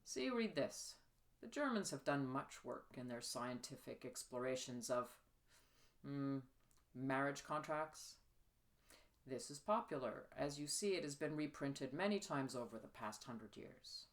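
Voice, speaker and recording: A woman voicing a worldly, earnest Regency gentleman in an audiobook, explaining things in a coaxing way. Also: rumbling low, animated, a little slow, full character voice. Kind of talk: literary audiobook character reading.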